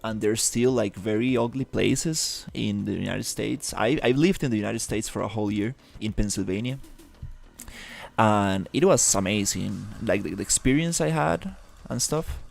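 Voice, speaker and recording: A young man from Rica, chatting casually on a live stream, halting, with many fillers and restarts. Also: fairly low pitch, gravelly, soft background music. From Costa Rica. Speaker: livestreamer.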